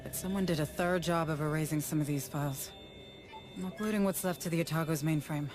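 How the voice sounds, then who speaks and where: A woman's voice: deep voice, quick pace, music in the background, lightly acted. A woman playing a tough, businesslike team commander in a game. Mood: focused, businesslike.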